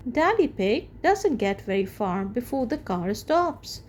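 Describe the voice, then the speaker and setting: A woman reading a children's storybook aloud as the storyteller, with expressive, deliberate phrasing. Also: a little high, slight background noise.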